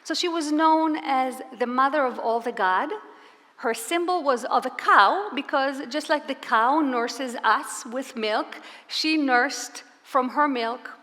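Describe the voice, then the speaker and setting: Woman, fairly high voice, earnest presenter, live talk to an audience, long hall reverb. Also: takes it slowly. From Israel. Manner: earnest, warm-spoken storyteller with rhetorical questions.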